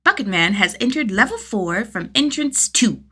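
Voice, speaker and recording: A woman's voice: mid-range voice, very animated, bright, forceful tone, breathy edge, exaggerated voice. Woman as a clipped, scheming boy tactician. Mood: coolly tactical. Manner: clipped, mission-control formality, deliberate. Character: small scheming boy hero announcing tactical phases.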